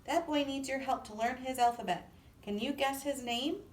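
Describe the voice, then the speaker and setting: A woman's voice: sits high, moderate pace, reverberant, distant mic, a little hiss or hum, voice pushed up, lightly acted. A woman voicing a caring, bossy grown-up female alphabet letter in a storybook, speaking in an emphatic, teacherly way. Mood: encouraging.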